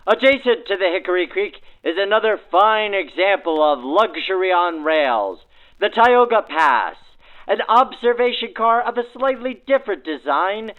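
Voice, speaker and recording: A man with a high, swooping voice delivers formal scripted narration like a 1940s radio announcer. The sound is narrowed by a vintage radio filter. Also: lively intonation, unhurried. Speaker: narrator and host. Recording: band-limited 1940s-style radio sound.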